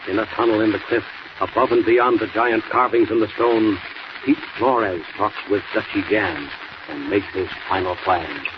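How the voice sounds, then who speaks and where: A man's voice: fairly low pitch, moderate pace. A man narrating a 1940s radio serial in a dramatic announcer style. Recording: muffled, noisy 1940s radio recording.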